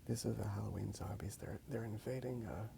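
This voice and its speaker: A man whispering softly in a breathy, gravelly voice, rambling casually and a little hesitantly in an ASMR style. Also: fairly low pitch, steady tone, brisk, a little hiss or hum. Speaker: ASMR video creator. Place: outdoors in a park.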